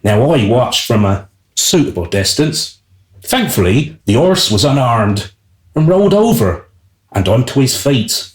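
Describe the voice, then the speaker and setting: An actor playing a wry, self-serving servant who narrates a radio play in an arch, deliberate storytelling manner. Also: male voice, medium pitch, big pitch swings, roomy sound. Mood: amused.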